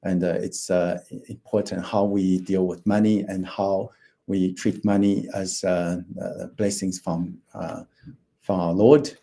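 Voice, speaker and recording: A man's voice: sits low, flat delivery, measured pace, gravelly, dark tone. A man in his fifties from Taiwan, a financial planner giving an online presentation, hesitant with many fillers and restarts. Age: 50s.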